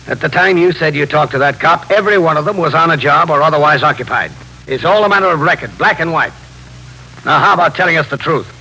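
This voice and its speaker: An American actor playing a stern, plain-spoken New York police captain in a 1950s radio drama. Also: male voice, on the high side, fast talker, vocal fry, sharp tone, husky, full character voice. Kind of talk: 1950s radio drama acting and first-person narration. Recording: noisy 1950s radio broadcast recording.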